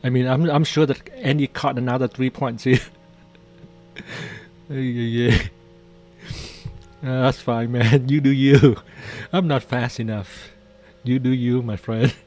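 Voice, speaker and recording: A man's voice: mid-range voice, fairly even intonation, unhurried, long pauses, a little mellow, soft background music. A man with doing casual live trading commentary, chatty and jokey, often repeating his phrases. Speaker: day trader hosting a live trading stream.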